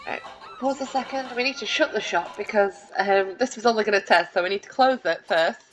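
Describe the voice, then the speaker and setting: A woman's voice: medium pitch, fairly quick, fairly bright tone, faint music behind, rough recording. Rambling woman narrating her game. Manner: chatty, rambling, many fillers and restarts; self-deprecating, lightly laughing. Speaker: let's play gamer. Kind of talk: casual gameplay commentary.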